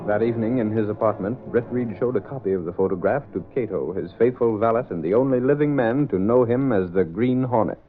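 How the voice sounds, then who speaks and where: A man's voice: fairly low pitch, brisk, few pauses. A man narrating an old-time radio serial as its announcer in scripted, vintage broadcast style. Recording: narrow old radio recording with music.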